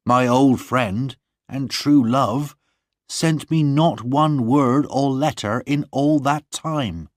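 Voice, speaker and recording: A man's voice: medium pitch, slow pace, no echo, voice pushed up, close to an everyday voice. Male reader as an earnest young farmer, voice lifted, dry close sound.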